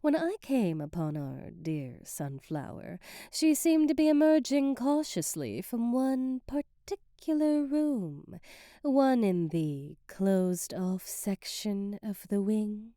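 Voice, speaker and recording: Woman playing a sly, dark-voiced silky sorceress. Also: mid-range voice, big pitch swings, slow, dry recording, studio quality. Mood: teasing. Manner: theatrical, teasing, insinuating, ornate formal diction. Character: sly, silky, manipulative female sorceress advisor to a queen. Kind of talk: ASMR fantasy audio roleplay.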